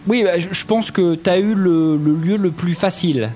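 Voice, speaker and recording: A man's voice: high-pitched, normal speed, darkish tone, soft background music, close to an everyday voice. A man playing a down-to-earth, impatient organiser in a comedy audio drama. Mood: exasperated.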